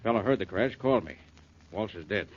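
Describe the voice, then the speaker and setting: An actor playing an unruffled small-town police chief, plain-spoken with clipped folksy phrasing, in 1940s radio drama style. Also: male voice, lowish voice, animated, fairly quick. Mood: reporting. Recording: muffled 1940s radio recording.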